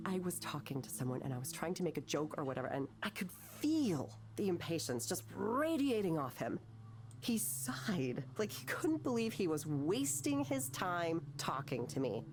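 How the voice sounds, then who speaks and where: An actress voicing an anguished, bitter, self-doubting woman artist in a naturalistic visual novel, speaking haltingly and emotionally. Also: lowish voice, background noise. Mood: dejected. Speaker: counselling patient.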